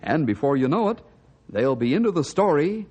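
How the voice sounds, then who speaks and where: Male announcer, 1950s adventure radio. Emphatic, declamatory, very animated delivery with a rough edge. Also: a little high, moderate pace. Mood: dramatic, rousing. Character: dramatic announcer of a wilderness adventure serial. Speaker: announcer and narrator. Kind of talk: dramatic 1950s radio narration.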